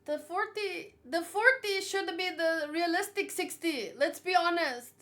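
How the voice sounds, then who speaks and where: A woman doing casual livestream commentary, reading aloud and reacting in a chatty, expressive way. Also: extremely high pitch, unhurried. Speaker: manga read-along streamer.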